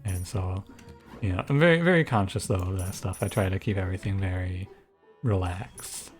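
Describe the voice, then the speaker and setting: A man with a soft, soothing voice giving relaxed, thinking-aloud commentary over a strategy game. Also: moderate pace, faint music behind. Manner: low-key, musing, thinks aloud with frequent restarts. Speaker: gaming YouTuber and streamer. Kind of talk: casual gameplay commentary.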